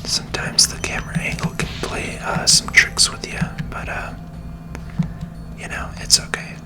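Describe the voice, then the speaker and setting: A gamer gives ASMR commentary entirely in a breathy whisper. The delivery is gentle and unhurried, with a reassuring way of talking through the play. Video game music is clearly heard behind the voice.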